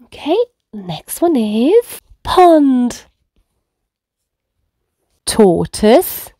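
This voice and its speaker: A woman storyteller speaks to very small children in an encouraging, simple way. Her delivery is extremely slow, with a lively, sing-song rise and fall in pitch. The sound is dry and close. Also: no room sound. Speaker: children's read-aloud storyteller. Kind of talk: reading first words aloud to toddlers. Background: faint music.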